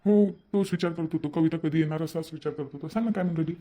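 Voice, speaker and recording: Guy doing a casual moody young cartoon guy, soft dark tone, talks quick, varhadi marathi. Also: on the high side, lightly acted. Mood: obliging. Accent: Varhadi Marathi, Vidarbha. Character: easygoing, gullible, moody young brother-in-law. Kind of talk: amateur cartoon voice-over.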